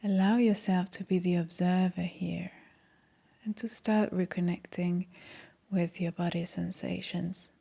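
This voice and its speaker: A woman with a soft, breathy voice leading a guided meditation in a calm, gentle, soothing manner. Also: fairly low pitch, unhurried. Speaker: meditation guide, yoga teacher.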